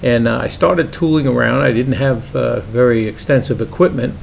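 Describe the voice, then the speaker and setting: Older Brooklyn-accented man, gravelly, relaxed halting home-vlog delivery, slight background rumble. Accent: New York (Brooklyn). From Brooklyn, New York. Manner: halting, many "uh"s and restarts, easygoing. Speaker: pipe maker vlogger. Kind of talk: casual hobby vlog.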